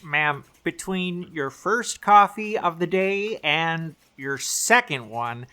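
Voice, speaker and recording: A woman's voice: sits low, lively intonation, slow, pushed and bright, exaggerated voice. An actress playing an exasperated, officious female copy assistant in a comedic audio drama, dry and pedantic.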